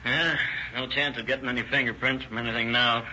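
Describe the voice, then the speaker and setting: A terse, matter-of-fact police partner, mid-pitched with a very bright, hard tone. The speech is casual, on a muffled, hissy old radio recording. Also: male voice, steady tone, fairly quick. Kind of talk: 1930s radio drama acting.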